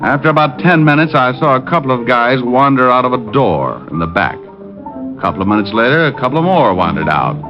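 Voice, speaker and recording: An American actor playing a cocky, wisecracking private detective in a 1950s radio drama, wry and hard-boiled. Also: male voice, medium pitch, expressive, fairly quick, natural voice. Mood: hard-boiled narration. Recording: muffled old radio recording, loud music behind.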